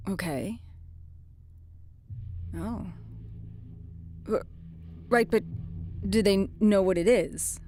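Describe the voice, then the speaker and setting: A woman playing a guarded, rueful policewoman in her thirties in an audio drama, with a hesitant, halting delivery. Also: mid-range voice, a little mellow. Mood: irritated, businesslike. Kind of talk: naturalistic audio drama acting.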